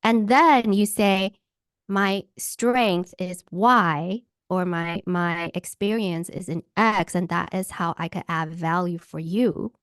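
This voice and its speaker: Woman coach from Hawaii, animated, dry video-call sound. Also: lowish voice, slow pace, clean tone, no room sound. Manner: animated, acts out enthusiastic quotes. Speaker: workshop speaker and coach. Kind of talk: answering questions in an online workshop.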